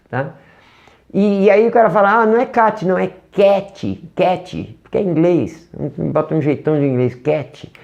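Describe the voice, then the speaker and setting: Brazilian Portuguese accent, English teacher, online lesson, animated delivery, demonstrates pronunciation, lo-fi audio. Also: mid-range voice. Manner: animated, asks rhetorical questions, demonstrates sounds.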